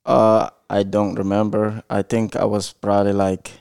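A man's voice: lowish voice, little pitch movement, moderate pace, gravelly, darkish tone, clear, resonant tone, no echo, clean studio sound. A man in his twenties from Somalia, a podcast guest giving hesitant, short answers with many uhs. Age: 20s. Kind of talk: casual interview answers.

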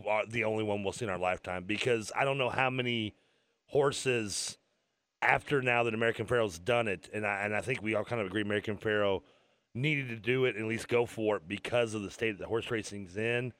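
A man's voice: fairly low pitch, a little fast, a bit bright, dry recording, clean studio sound. A man co-hosting a radio sports talk show, joking and rambling with frequent stumbles and restarts.

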